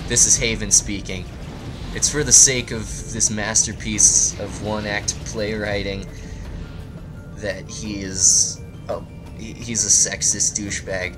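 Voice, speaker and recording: A man improvising a comedic story in a rambling, profane, tongue-in-cheek way as a let's play narrator. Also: fairly low pitch. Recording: music behind the voice. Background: music.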